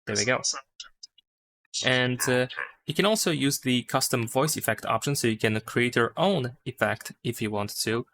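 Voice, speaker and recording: A man's voice: medium pitch, normal speed, a bit bright. A man giving a casual, unscripted step-by-step phone tutorial, thinking aloud with some hesitation. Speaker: tutorial host. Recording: clear background noise.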